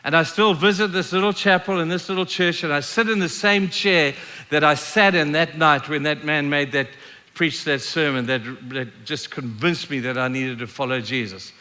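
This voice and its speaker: Bright-voiced older preacher in a reverberant hall. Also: male voice, on the high side, moderate pace. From Zimbabwe. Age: 60s. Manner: emphatic, repeats key phrases for effect. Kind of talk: sermon with storytelling and scripture reading.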